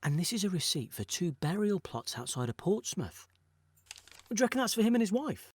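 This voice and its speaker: A man's voice: high-pitched, very animated, a little fast, a little mellow, breathy edge, exaggerated voice. A man with a British accent playing an earnest, touchy jobbing actor in a naturalistic audio drama, speaking colloquially and hesitantly. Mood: curious, excited. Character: jobbing actor John, earnest, wistful, touchy, working a council clearance job.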